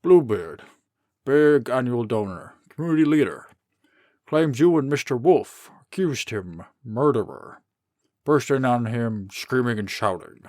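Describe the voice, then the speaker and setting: A man's voice: mid-range voice, lively intonation, unhurried, darkish tone, dry recording, studio quality, lightly acted. A man voicing a pompous, fussy, genial king who serves as mayor, in a comic dub. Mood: troubled, fussy. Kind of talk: comic dub character acting.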